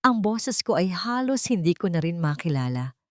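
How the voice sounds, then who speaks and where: A Filipino woman reading a first-person drama story aloud in a measured, earnest way. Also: fairly low pitch, sing-song, no echo, studio quality. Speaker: audiobook narrator.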